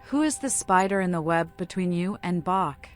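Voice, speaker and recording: Woman voicing a whimsical mad vampire, lowish, swooping. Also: lively intonation, moderate pace, soft background music, lightly acted. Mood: curious, cryptic. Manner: odd, theatrical, cryptic. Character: eccentric, whimsical mad female vampire speaking in riddles. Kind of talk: fan-voiced game protagonist.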